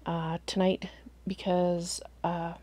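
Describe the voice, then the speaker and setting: Slightly hoarse woman streaming a game. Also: fairly low pitch, little pitch movement, slow pace, mellow, no echo. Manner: chatty, greets viewers, thinks aloud. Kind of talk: casual live gameplay commentary.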